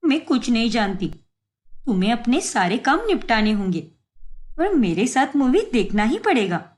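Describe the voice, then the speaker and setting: A woman acts out a young woman in love, demanding and quick to flare up, for a cartoon moral tale. The voice is high and very animated, with dramatic emphasis on her feelings. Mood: shy, insistent. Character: lovestruck, demanding, hot-tempered young woman. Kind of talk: animated moral story acting.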